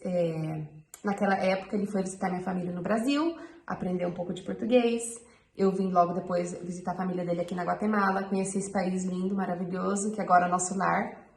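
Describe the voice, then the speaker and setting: A woman's voice: fairly low pitch, fairly even intonation, fast talker, gravelly, slight background noise. A woman from Brazil, a missionary, sharing her personal testimony in a chatty storytelling way with frequent fillers. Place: home in Guatemala. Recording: echoey room.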